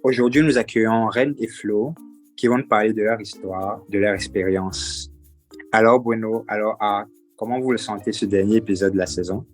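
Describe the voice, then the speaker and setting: A man hosts a podcast in a low voice, reading a clear scripted introduction. Faint music plays behind him. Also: fairly even intonation, moderate pace. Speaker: podcast host.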